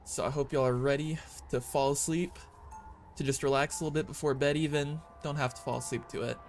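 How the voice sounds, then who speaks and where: A young man streaming a game at night, talking softly and calmly in a hushed voice so as not to wake someone nearby. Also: on the high side, fairly quick, soft background music. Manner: soft, calm, hushed talk. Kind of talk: quiet casual gameplay chat. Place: room at home at night.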